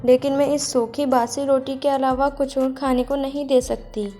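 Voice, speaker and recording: A woman's voice: high voice, flat delivery, normal speed, dark tone, faint music behind, lightly acted. A woman voicing a poor, long-suffering village mother-in-law in a cartoon moral story, plaintive and motherly. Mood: apologetic.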